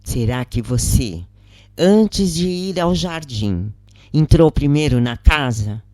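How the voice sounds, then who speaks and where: A woman's voice: very deep voice, animated, takes it slowly, a little mellow, no echo, clean studio sound, heavily acted. A woman with a Brazilian accent voicing a patient, earnest female prisoner in an audiobook story. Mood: gently probing. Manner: earnest, explanatory. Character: imprisoned woman counselor Joana, grave, patient, confiding.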